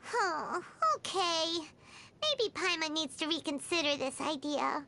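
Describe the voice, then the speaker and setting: A woman voicing a tiny, excitable, food-loving female fairy companion in a video game, squeaky and childlike, bubbly and exclaiming. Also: slow, exaggerated voice. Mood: pondering. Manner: speaks of self in third person, exclaiming, bubbly. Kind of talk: video game character dialogue.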